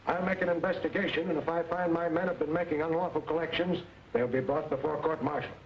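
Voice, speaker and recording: An actor playing a stern, proud middle-aged army colonel with a formal, authoritative manner in an old western. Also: male voice, fairly high pitch, steady tone, fast talker, natural voice. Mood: stern, official. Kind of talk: old-time western film acting. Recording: noisy, muffled vintage western soundtrack.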